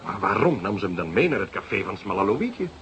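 Eager, animated junior detective. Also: male voice, on the low side, fast talker, a little hiss or hum. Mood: impatient, reasoning. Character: eager male junior detective, theorising confidently. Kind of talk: Dutch detective radio drama acting.

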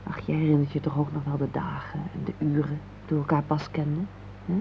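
A woman's voice: low-pitched, completely flat delivery, fairly quick, creaky. An actress playing a wistful married woman in a Dutch radio play, reflective and searching for her words. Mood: melancholy, searching. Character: wistful married woman longing for lost romance. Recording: dull, noisy old radio recording.

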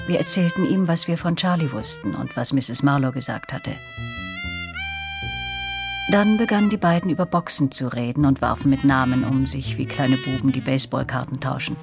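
Eine Schauspielerin spricht die nachdenkliche Ich-Erzählerin eines Hörspiels, gemessen und erzählend. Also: female voice, very deep voice, gravelly. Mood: pensive. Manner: measured, reflective storytelling. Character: reflective woman narrator recalling her troubled girlhood. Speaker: first-person narrator. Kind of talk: scripted radio drama narration. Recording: dry studio with music underneath.

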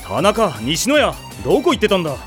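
A man playing a steady, no-nonsense senior high school boy in an anime audio drama. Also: fairly quick, faint music behind, heavily acted. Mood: dry, firm.

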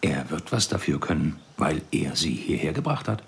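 Creaky, sardonic ghost of a husband. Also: male voice, very deep voice, very animated, normal speed, heavily acted. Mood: brooding, cynical. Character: ghost of a dead husband, sardonic, dark, needling. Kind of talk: radio drama acting.

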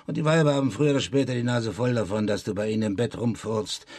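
Ein Schauspieler spricht in einem Hörspiel einen prahlerischen, lebenserfahrenen Buschräuber, derb, volkstümlich und überredend. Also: male voice, on the low side, fast, darkish tone, natural voice. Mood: proud, instructive. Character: boastful, worldly old-hand bushranger mentoring a boy. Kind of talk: radio drama acting.

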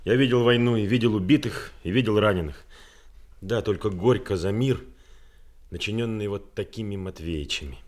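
A man's voice: lowish voice, moderate pace, darkish tone, lightly acted. A man playing an earnest, troubled, experienced war correspondent in a Soviet radio play. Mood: troubled, insistent.

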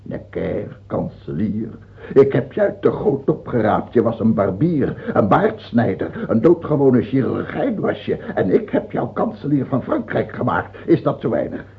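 A man's voice: mid-range voice, sing-song, unhurried, vocal fry. An actor the playing an ailing, fearful and tyrannical king of France in a radio drama, volatile, moving between brooding murmurs and barked scorn. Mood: scornful, needling. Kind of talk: theatrical radio drama acting. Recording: dull, lo-fi old radio recording.

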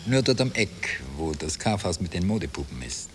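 An actor playing a weary impostor husband with a Viennese accent in a radio play from around 1960, terse and ironic. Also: male voice, sits low, very animated, moderate pace. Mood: wry, reminiscent. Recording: noisy old radio drama cassette transfer.

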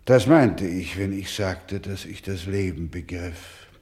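Slow, solemn male storyteller. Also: deep voice. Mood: earnest. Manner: grave, deliberate, emphatic, dropping to hushed tones. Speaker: actor in a radio play. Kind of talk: slow, dramatic radio-play narration.

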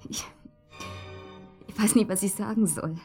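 An actress playing a shy, reserved schoolteacher in a radio drama, speaking in timid, halting, terse phrases. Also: female voice, mid-range voice, mellow, faint music behind, close to an everyday voice. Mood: apologetic, uneasy. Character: shy, reserved female schoolteacher on a first call. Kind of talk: hushed naturalistic radio drama acting.

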